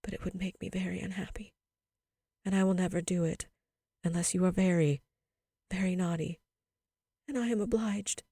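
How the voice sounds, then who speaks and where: Lady reader doing a loving sad mum from a kids storybook, gentle n earnest, warm dark tone. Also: medium pitch, lively intonation, normal speed, dry recording, studio quality, close to an everyday voice. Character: tender, sorrowful young mother of small children.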